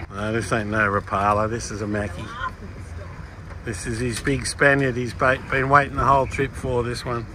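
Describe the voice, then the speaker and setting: A man's voice: medium pitch, unhurried, pauses often. A man hosting a sailing vlog, chatting casually and calling out encouragement to a fisherman on deck. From Australia. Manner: excitable, calls out encouragement. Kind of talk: casual onboard chat and coaching. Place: open sailboat deck at sea. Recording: wind and boat noise.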